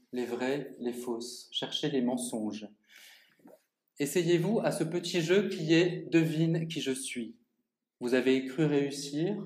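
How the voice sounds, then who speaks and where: A man's voice: mid-range voice, a little mellow. Man giving a theatrical reading in an echoey gallery. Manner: measured, theatrical delivery addressing the audience directly. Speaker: author reading his own text. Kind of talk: public literary reading, first-person monologue. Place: art gallery.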